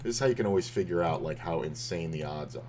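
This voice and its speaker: Male streamer, deep and a bit hoarse, rambling casual poker commentary. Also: quick pace, a little mellow, a little hiss or hum. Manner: rambling, loose, with many fillers and restarts. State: slightly hoarse.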